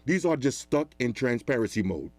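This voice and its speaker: A man giving a casual, animated product review in a loud, talkative voice. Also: medium pitch, moderate pace, no room sound. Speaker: tech reviewer.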